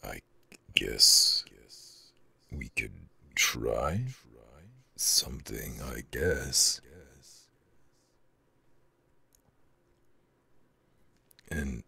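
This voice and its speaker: Gravelly, extremely deep, hesitant dragon. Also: male voice, animated, extremely slow, pauses often, pushed and bright, heavily acted. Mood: shy, awkward. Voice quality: very deep, gravelly growl with breathy rasp. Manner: hesitant, stammering. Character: shy, proud, huge male dragon, flustered and earnest. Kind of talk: ASMR audio roleplay.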